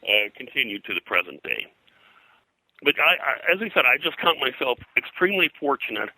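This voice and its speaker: An older man, a pop culture historian being interviewed, reminiscing in a halting, digressive way full of detail. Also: medium pitch, sing-song, bright, forceful tone, no echo, low-quality recording. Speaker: pop culture historian and comics colorist, guest.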